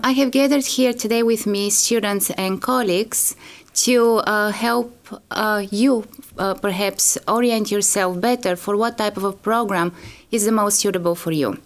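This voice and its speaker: Polite woman hosting a webcast. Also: mid-range voice. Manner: polite, hesitant with fillers. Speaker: host and lecturer. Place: studio.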